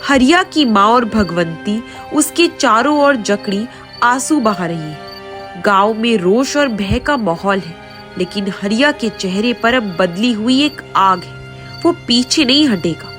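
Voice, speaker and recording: A woman narrates a moral folk tale in a dramatic, scripted style. Her voice is fairly high and very unhurried, with soft music underneath. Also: expressive. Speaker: story narrator. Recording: faint music behind the voice.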